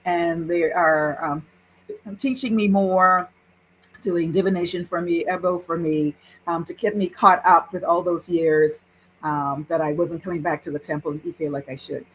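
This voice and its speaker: Woman, sixties, low-pitched, interview guest, animated storytelling, laughs often, faint background noise. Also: moderate pace, low-quality recording. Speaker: guest, Yoruba priestess. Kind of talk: personal storytelling in conversation.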